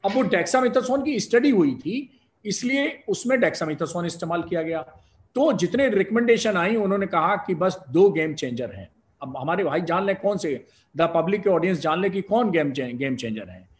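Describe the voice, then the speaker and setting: Man, middle-aged, from India, doctor giving medical advice, emphatic and argumentative, fairly high pitch. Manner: emphatic, argumentative, rhetorical exclamations. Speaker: doctor and professor of respiratory medicine. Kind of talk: live guest explanation of medical advice.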